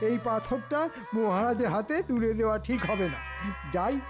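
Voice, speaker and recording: A man's voice: high-pitched, normal speed, natural voice. A man voicing a scheming, greedy cartoon minister in an oily, wheedling, theatrical way. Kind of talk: exaggerated comic cartoon acting. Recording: music behind the voice.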